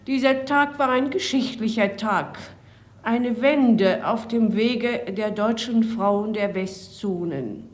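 An earnest, matter-of-fact lawyer and mother delivering a 1940s radio address. She speaks slowly and deliberately, in a fairly high voice. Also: darkish tone. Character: earnest woman jurist, measured mother.

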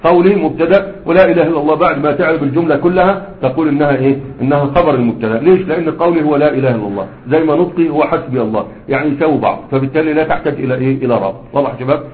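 A man's voice: mid-range voice, a little hiss or hum. A man with an Egyptian accent teaching a grammar class, quizzing his students with prompting questions as he explains. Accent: Egyptian Arabic. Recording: echoey room, phone-like sound.

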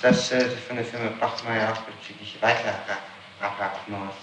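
A man conducts a formal interrogation in a fairly low voice. He speaks haltingly yet persistently, in an official register. The old tape is echoey and clearly noisy. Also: steady tone. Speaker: prosecutor questioning a suspect. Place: room, recorded on tape during questioning.

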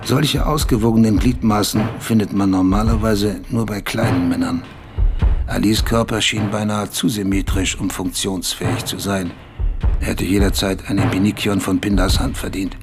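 A man's voice: fairly low pitch, steady tone, moderate pace. A man reading literary scripted narration in a radio play. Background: loud music.

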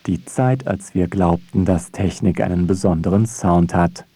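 A man with a low voice narrates a scripted radio feature. His delivery is wry and rhetorical, and music runs clearly behind him. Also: steady tone, measured pace, warm tone, no echo.

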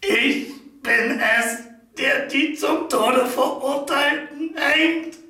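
An actor playing a coarse, wary male convict who works as hangman, gravelly and blunt, in a German radio play. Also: extremely high pitch, extremely slow, pushed and bright, heavily acted. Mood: callous, defensive.

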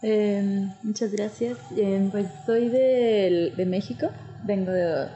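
A woman's voice: medium pitch, slow, warm tone, lo-fi sound. A woman with a central Mexican accent answering interview questions conversationally, hesitant and searching for words, in a reverberant room. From State of Mexico, Mexico. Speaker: interview guest, architect. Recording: very echoey, reverberant room sound.

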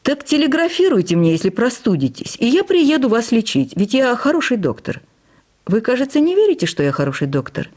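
A woman voicing an ailing, affectionate but testy male writer in a radio literary reading, gently teasing and abrupt. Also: very high-pitched, very animated, brisk, voice pushed up, heavily acted. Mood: caring, insistent.

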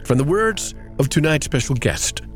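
A man hosting a radio interview program, reading a scripted introduction and then questioning his guest in a measured, earnest way. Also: fairly high pitch, big pitch swings, soft background music. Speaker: radio show host.

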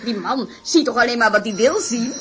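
A woman's voice: a little high, animated, a little fast, noisy background, natural voice. A woman playing a wry, reluctant young accomplice, dry and teasing, in a radio play. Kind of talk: Dutch radio play acting.

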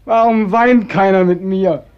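An actor playing a desperate, bitter young war veteran in a radio play, anguished and given to repeating himself. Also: male voice, high voice, a little slow, clean tone. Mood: anguished, mounting despair. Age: young adult. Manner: anguished, repetitive, echoing others' words. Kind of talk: post-war German radio play acting. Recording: dull, muffled old radio recording.

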